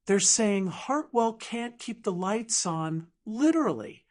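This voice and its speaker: A woman's voice: mid-range voice, lively intonation, takes it slowly, a little mellow. Woman playing a tight-voiced, businesslike female aide. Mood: tense, urgent. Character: tense, professional woman aide to an executive.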